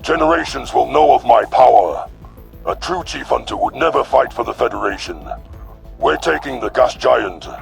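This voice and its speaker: Super gravelly alien hunter boss, sneering and power hungry, sci-fi audio drama, faint music under it. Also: male voice, medium pitch, animated, normal speed, voice pushed down. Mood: menacing boast. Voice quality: gravelly growl. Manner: boastful, sneering. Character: arrogant, contemptuous alien carnivore warlord, power-hungry.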